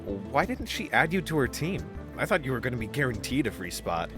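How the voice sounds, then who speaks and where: An actor playing a nervous, self-doubting young space-biker captain in an audio drama, hesitant and dryly sarcastic. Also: male voice, medium pitch, big pitch swings, brisk, over music, lightly acted. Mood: awkward.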